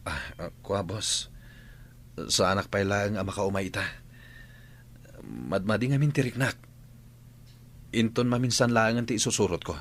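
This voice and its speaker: A man's voice: lowish voice, normal speed, pauses often, fairly bright tone. A man with an Ilocano accent playing a sly gang member in a radio drama, recorded with ambient noise. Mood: feebly pleading. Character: sly male gang member, secretly feigning weakness. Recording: noisy ambience behind the voice.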